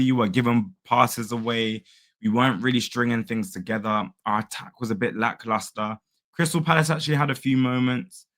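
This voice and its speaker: A young man whose voice is hoarse and fading hosts a casual football reaction vlog. He talks in a chatty, upbeat way and uses plenty of fillers. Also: medium pitch, fairly bright tone. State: losing his voice. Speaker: football fan channel host.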